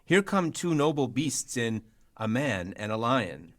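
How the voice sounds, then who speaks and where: A young man playing an amused, courtly duke in a radio play of Shakespeare, speaking in a wry, formal, measured way. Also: mid-range voice, very animated. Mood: wry amusement.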